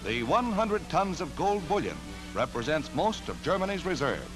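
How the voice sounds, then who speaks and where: An American man reads a 1940s newsreel script in a dramatic, declamatory way. His voice sits a little high and swings with lively emphasis. Loud music plays behind him on the archival soundtrack. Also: normal speed, rough recording. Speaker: newsreel narrator. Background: loud orchestral newsreel music.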